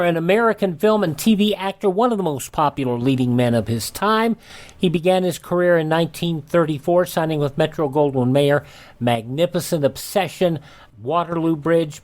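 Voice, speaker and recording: A man hosting a radio program, presenting reads and introductions to listeners. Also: on the high side. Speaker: radio host.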